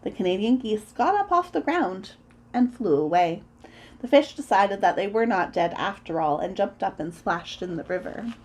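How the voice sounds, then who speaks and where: A woman reading a children's story aloud in an expressive, deliberate way, as a storyteller acting out the sound words. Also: medium pitch.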